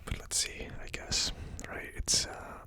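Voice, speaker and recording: A person whispering in a breathy, unvoiced ASMR style, casually murmuring through notes as the host of a tasting video. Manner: slow, murmured word-by-word listing while typing notes.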